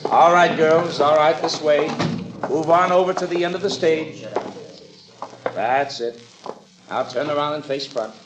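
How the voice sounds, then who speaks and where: Casting: man as procedural police sergeant for vintage radio crime show, higher male voice, reverberant room. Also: a little slow, pauses often, noisy background, close to an everyday voice. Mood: commanding. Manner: official, procedural, matter-of-fact. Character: brisk, businesslike male police sergeant running lineups. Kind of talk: 1950s radio police drama acting. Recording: echoey vintage radio stage sound.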